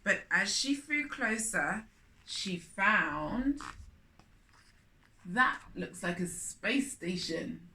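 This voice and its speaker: A woman's voice: medium pitch, expressive, drawn-out words, pauses often, bright, forceful tone. A woman hosting a children's storytime, reading a picture book aloud expressively with chatty asides.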